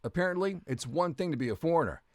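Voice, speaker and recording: A man's voice: a little high, lively intonation, fast, dry recording, clean studio sound. A man narrating a story video in the second person, with a wry, jokey delivery.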